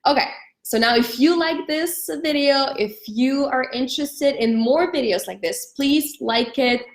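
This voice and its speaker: A young woman from Ukraine hosting a live stream, giving friendly advice with many pauses, fillers and restarts. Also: high voice, roomy sound. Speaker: host of a live stream on studying abroad.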